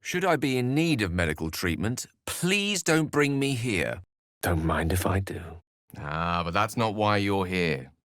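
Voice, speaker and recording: Bookish game wizard, lowish voice, very expressive pitch, eloquent, wry, gallant, theatrical. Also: male voice, unhurried, no room sound, studio quality. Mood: curious, polite. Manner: articulate, theatrical, wordy. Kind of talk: video game character acting.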